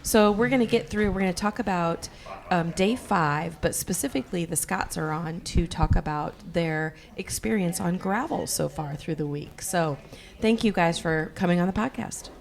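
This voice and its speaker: Slightly hoarse woman co-hosting a podcast. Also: lowish voice, moderate pace. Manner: chatty, laughs often. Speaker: podcast co-host. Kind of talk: casual chat.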